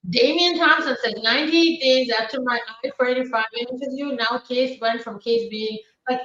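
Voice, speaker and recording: Brisk woman attorney in a roomy room. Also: sits high, a bit bright, a little hiss or hum. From Ukraine. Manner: brisk, matter-of-fact answers with frequent fillers. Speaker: immigration attorney hosting a live Q&A. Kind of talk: live-stream Q&A, reading viewer questions aloud. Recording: roomy, distant, dull live-stream audio.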